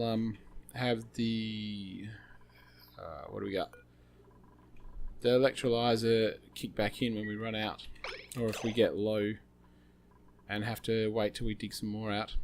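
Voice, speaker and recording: A slightly hoarse man hosting a gaming channel, thinking aloud with many fillers as he plays. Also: fairly low pitch, a little hiss or hum. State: slightly hoarse, losing his voice. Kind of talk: casual gameplay commentary.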